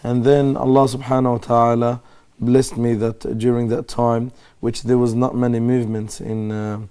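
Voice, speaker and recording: A middle-aged man, an Islamic scholar, explaining his background in a calm, measured way as a guest on a live TV interview. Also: medium pitch, clear, resonant tone. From Australia.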